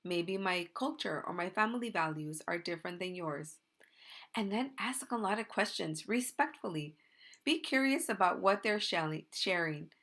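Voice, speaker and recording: A woman from Mexico gives the children's story in a church. She explains slowly and simply and keeps checking in with "right?". Her pitch sits in the middle for a woman. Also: fairly bright tone. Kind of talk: gentle children's story talk. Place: church sanctuary.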